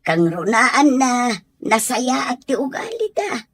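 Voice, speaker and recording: A gentle, loyal woman, speaks slowly in a husky voice with swooping intonation. She has an Ilocano accent and a patient radio drama manner. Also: fairly high pitch, very animated, full character voice. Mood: gentle, reasoning. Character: gentle, loyal woman defending servants to her madam. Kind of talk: Filipino radio drama acting.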